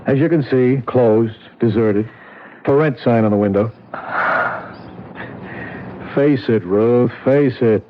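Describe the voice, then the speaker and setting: An actor playing a skeptical police lieutenant who questions bluntly in a 1970s radio drama. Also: male voice, medium pitch, slow, pauses often, slight background noise, lightly acted. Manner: blunt, matter-of-fact questioning. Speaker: police detective. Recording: tinny old radio broadcast.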